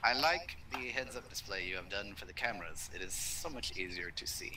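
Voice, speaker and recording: A man's voice: fairly low pitch, expressive, brisk, noisy background. A man chatting casually and jokingly as a co-op gaming partner. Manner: teasing, joking.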